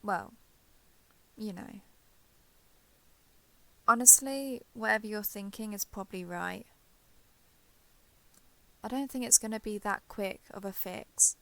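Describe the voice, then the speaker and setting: A woman playing a shy, guarded former villain turned ally in an intimate romance audio roleplay, halting, defensive and awkwardly sincere. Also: mid-range voice, creaky, rough recording. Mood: sincere, nervous.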